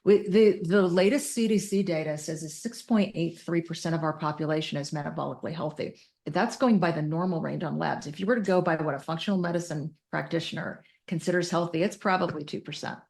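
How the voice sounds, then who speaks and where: A woman's voice: sits low, brisk, gravelly, darkish tone. A woman explaining things as an expert guest, emphatic and detailed, mixing technical jargon with casual wording. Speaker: podcast guest, mental health clinician.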